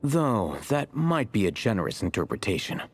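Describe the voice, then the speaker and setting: Deep-voiced, skeptical game character. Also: male voice, very animated, moderate pace, slightly rough. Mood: dry skepticism. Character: cool, skeptical man, dryly probing. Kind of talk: scripted game dialogue.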